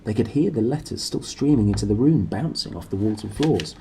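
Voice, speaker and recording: A man's voice: lowish voice, lively intonation, brisk, mellow, a little hiss or hum. A man narrating a storybook aloud in a dramatic, playful way. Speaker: book narrator. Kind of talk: animated storybook read-aloud.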